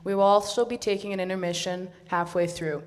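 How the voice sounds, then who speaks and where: A woman's voice: mid-range voice, steady tone, brisk. A young woman acting as emcee, announcing each presenting group in a scripted, orderly way.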